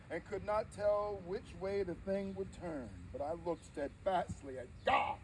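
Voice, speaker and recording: Casting: male actor as devout, frail elderly preacher, historical oration, fairly high-pitched yet soft-edged, halting and formal. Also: recorded from a distance, slight background noise, natural voice, rough recording. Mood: grave, reflective. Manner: solemn, formal, halting oratory with dramatic swells. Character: frail, devout old man, formerly enslaved preacher, addressing an audience. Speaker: live interpreter. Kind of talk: theatrical first-person historical oration.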